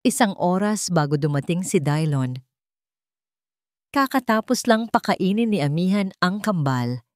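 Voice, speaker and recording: A woman with a Filipino accent reads a scripted dramatic story in a measured storytelling manner. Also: on the low side, animated, a little mellow, no room sound, studio quality. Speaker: story narrator. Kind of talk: scripted dramatic narration of a Tagalog love story.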